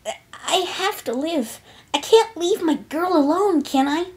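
Tough young pegasus girl laid low, high and faltering, for a cartoon story; woman performing. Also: lively intonation, a little mellow, exaggerated voice. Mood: tender. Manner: shaky, halting.

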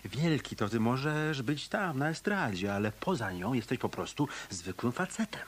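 An actor playing a world-weary, wisecracking hotel detective in a radio drama, with a sardonic, drawling manner. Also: male voice, medium pitch, very animated, moderate pace, slight background noise, natural voice. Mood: stern.

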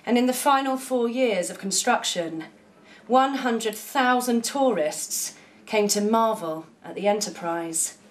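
A young adult woman giving a measured, declamatory reading of poetry as one of two voices, in a small cafe. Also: fairly high pitch. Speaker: poetry reader. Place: small indoor cafe with bookshelves.

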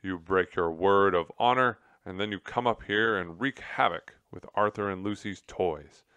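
A man voicing an earnest, stern gentleman mouse in a children's storybook, speaking emphatically and with reproach. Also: fairly low pitch, very animated, a little slow, no room sound. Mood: indignant, scolding.

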